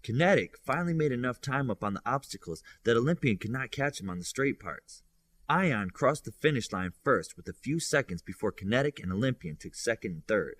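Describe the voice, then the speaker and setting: A man's voice: medium pitch, very animated, a little fast, fairly bright tone. A man reading a scripted science fiction audiobook as its narrator.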